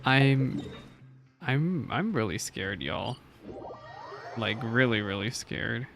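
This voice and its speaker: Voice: mid-range voice, expressive, slow, gravelly, a bit bright, soft background music. A streamer giving casual, off-the-cuff reactions while playing a story game.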